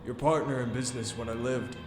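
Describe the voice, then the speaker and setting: A man's voice: medium pitch, steady tone, a little fast, natural voice. A man acts out an anguished male ghost in an audio drama, declaiming solemnly as if weighed down by chains. The voice rings through a long, cavernous echo, and music swells prominently behind it. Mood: grave, foreboding. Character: tormented ghost of a dead businessman in chains. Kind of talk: audio theater acting. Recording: cavernous long reverb over loud music.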